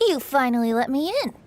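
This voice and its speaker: A woman's voice: sits high, slight background noise. A woman playing a breezy young male bard. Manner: airy, flowery, giggly. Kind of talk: video game voice acting.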